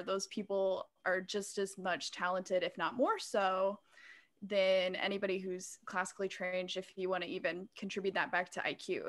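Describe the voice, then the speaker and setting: A woman's voice: mid-range voice, a bit bright. A woman giving her opinion casually as a podcast panelist.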